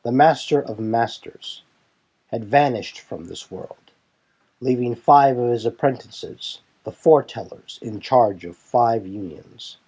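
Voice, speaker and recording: Man voicing Stratus, husky, composed young leader. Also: mid-range voice, expressive, slow, darkish tone, full character voice, low-quality recording. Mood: grave, explanatory. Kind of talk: fan audio drama dialogue.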